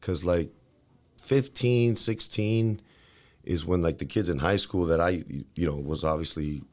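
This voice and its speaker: Very deep-voiced man from Miami. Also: measured pace, darkish tone. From Miami, Florida, USA. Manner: loose, rambling, many fillers and restarts. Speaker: guest, fitness trainer. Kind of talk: casual interview talk.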